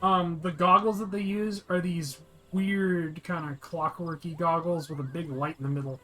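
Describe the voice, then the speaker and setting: A man's voice: fairly high pitch, steady tone, moderate pace, a bit bright, poor audio. A man giving casual, sarcastic, sweary game commentary that describes the scenes for blind players. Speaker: host of a descriptive let's play for blind players.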